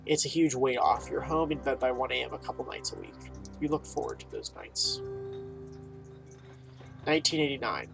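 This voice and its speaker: A man's voice: medium pitch, animated, moderate pace, creaky, long pauses, fairly bright tone. A man hosting a gaming video, casually reading game text aloud and reacting with informal, muttered asides. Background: game music.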